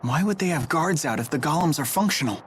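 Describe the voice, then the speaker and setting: A man's voice: fairly high pitch, lively intonation, fast talker, slight background noise, close to an everyday voice. An actor playing a keen, thoughtful young man in a game, speaking in an inquisitive, plain-spoken manner. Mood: earnest, curious. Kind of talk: English game dub acting. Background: light background music.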